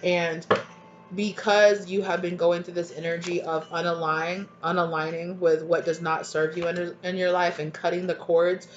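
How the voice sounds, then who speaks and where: A woman with a stuffy, congested voice rambles through a channeled tarot reading, her pitch held fairly flat. She keeps checking in with the listener as she goes. The home recording sounds dull and lo-fi, with a faint hiss behind her. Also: moderate pace. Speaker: tarot reader. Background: dogs barking at times.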